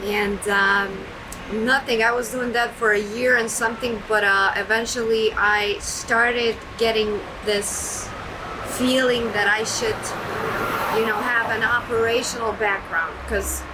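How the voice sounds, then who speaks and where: A woman's voice: a little high, fairly even intonation, a little slow. A young woman from Serbia, a guest in an interview, telling her story in a chatty, self-deprecating way with many fillers and restarts. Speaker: guest, trucking entrepreneur. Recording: loud background noise.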